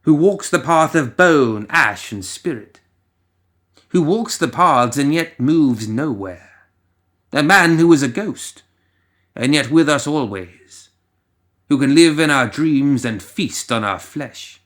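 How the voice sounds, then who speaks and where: A man reading a scripted story as narrator, in a theatrical, ominous manner with lingering pauses. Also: a little high, animated, a bit bright.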